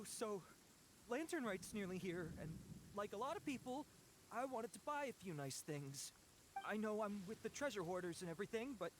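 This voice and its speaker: Jumpy, wide-ranging cowardly bandit. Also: male voice, sits high, noisy background. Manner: nervous, hesitant, stammering, pleading. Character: cowardly, hapless bandit, bluster turning to pleading. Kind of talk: English video game voice acting.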